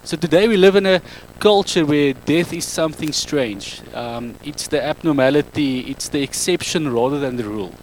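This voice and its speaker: A man in his thirties speaking English with an Afrikaans accent, giving a public talk on a handheld mic in a halting, self-correcting way. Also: a little high, a little hiss or hum, poor audio. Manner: halting, self-correcting non-native English with frequent ums. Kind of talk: public talk to a live audience.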